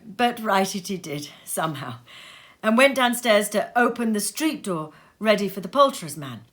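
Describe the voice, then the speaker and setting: A woman reads a classic tale aloud from her sitting room, lively and expressive. Her voice is bright and clear, with a crisp edge. The pitch sits in a middle range. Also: moderate pace. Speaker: reader. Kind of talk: audiobook reading of a classic tale.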